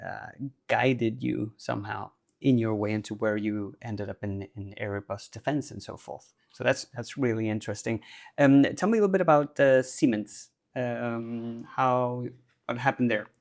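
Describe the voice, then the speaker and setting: A man's voice: mid-range voice, normal speed, creaky, a little mellow. A man working as an interview host, asking questions in a casual, conversational way.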